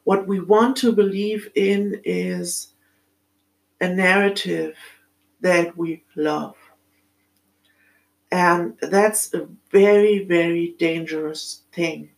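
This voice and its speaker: German-accented woman talking slow and thoughtful, lots of pauses and ums, middle-aged podcast host vibe. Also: lowish voice, steady tone, gravelly, low-quality recording. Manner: thoughtful, halting, with many pauses and fillers. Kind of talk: reflective solo monologue.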